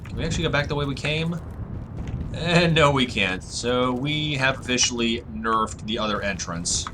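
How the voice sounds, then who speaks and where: Husky, tired man thinking aloud. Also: mid-range voice, moderate pace, background noise. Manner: relaxed, musing thinking aloud. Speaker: gaming channel host. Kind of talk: casual let's-play commentary.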